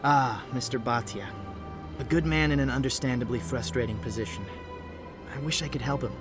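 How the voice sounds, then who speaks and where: An actor playing a polite, cautious government official in a video game, talking in formal officialese. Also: male voice, mid-range voice, a little fast, lightly acted. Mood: polite, regretful. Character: polite, cautious male diplomatic bureaucrat. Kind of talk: video game character acting. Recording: background music under the dialogue.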